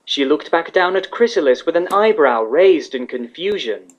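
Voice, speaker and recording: A man's voice: fairly high pitch, animated, normal speed. A man reading scripted fiction as the narrator of a dramatic story. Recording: slightly dull, lo-fi recording.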